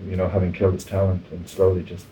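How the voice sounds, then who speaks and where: Irish man, interviewer, rapid speech, soft dark tone, conversational, eager interjections. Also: low-pitched, level tone, poor audio.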